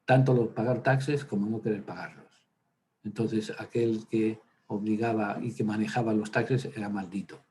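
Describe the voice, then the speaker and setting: A priest lectures on scripture in a low, warm, mellow voice, drifting into casual asides and anecdotes. He talks with frequent hesitations and fillers, like a relaxed teacher working without notes. A faint hiss of background noise sits under the recording. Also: normal speed. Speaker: priest teaching a Bible workshop. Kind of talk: informal Bible lecture.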